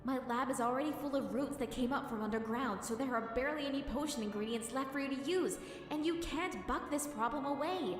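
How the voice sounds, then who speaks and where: A woman playing a young pony princess, gentle and earnest, pleading through grief in an audio drama. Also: high voice, a little fast, close to an everyday voice. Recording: echoey hall, distant from mic. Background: prominent music.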